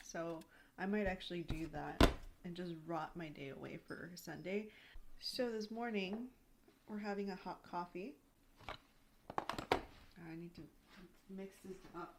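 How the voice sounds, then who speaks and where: A woman in her thirties chatting casually at home in a rambling vlog, full of "like" fillers, her throat a little raspy. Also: lowish voice, measured pace, pauses often, heavy background noise. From United States. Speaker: lifestyle vlogger. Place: home, indoors.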